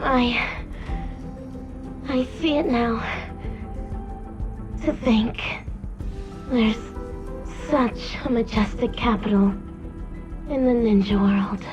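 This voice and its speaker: Female naive ninja game character: solemn, grand, deliberately slow delivery over music. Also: a little high, fairly even intonation, lo-fi sound. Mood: awed. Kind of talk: scripted video game voice acting.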